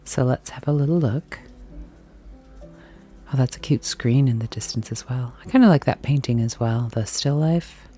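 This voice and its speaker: A woman filming herself as she browses a large antique and thrift shop, chatting casually and musing aloud, sometimes dropping to a soft whisper. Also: extremely low pitch, normal speed, soft background music. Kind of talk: casual walk-and-talk commentary. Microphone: handheld camera mic, filming as she walks.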